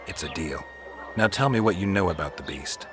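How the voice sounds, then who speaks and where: An actor playing a gruff, laconic monster hunter in a fantasy video game, terse and flatly questioning, with a gravelly growl. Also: male voice, low voice, fairly quick, music in the background. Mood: wry, businesslike.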